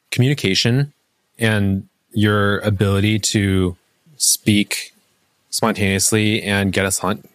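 A man answering interview questions in a measured, explanatory way, with many "like" fillers. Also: on the low side, dry recording. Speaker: language learning app founder.

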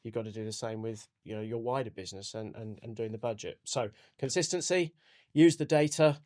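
A man's voice: fairly low pitch, fairly quick, darkish tone. A man hosting a business podcast, steering the talk with upbeat guiding questions and frequent "you know". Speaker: podcast host.

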